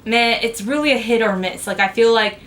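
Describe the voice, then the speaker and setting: A woman's voice: a little high, fairly quick, fairly bright tone. A young woman chatting frankly to camera as she reviews cheap makeup, with lots of "like" fillers. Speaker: beauty vlogger.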